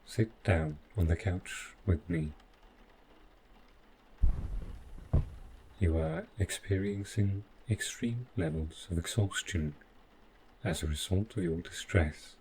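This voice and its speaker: A devoted, quietly possessive robot butler, played by a man in an audio drama. The voice is very low and mellow, and it moves very slowly in formal, precise, measured phrases. Noticeable background ambience sits behind it. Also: a little hiss or hum, low-quality recording. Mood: soothing, possessive. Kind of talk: scripted roleplay audio drama monologue.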